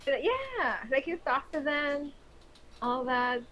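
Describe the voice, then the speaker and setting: A woman's voice: sits high, very animated, slow pace, a loud crowd. Cheerful Filipino woman on a podcast. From Philippines. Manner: laughs often, warm and encouraging. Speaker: podcast guest. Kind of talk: casual chat. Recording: dull lo-fi sound.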